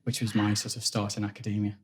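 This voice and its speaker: Flat-voiced Liverpool man telling stories. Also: lowish voice, fast. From Liverpool, England. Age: 40s. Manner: rambling, repeats words while thinking. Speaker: guest, engineering executive. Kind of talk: relaxed interview storytelling. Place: office at company headquarters.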